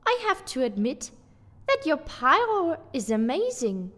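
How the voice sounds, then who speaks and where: A woman's voice: high voice, big pitch swings, unhurried, a bit bright, long reverb tail, exaggerated voice. A woman voicing a proud female cat who talks in a sing-song way and meows.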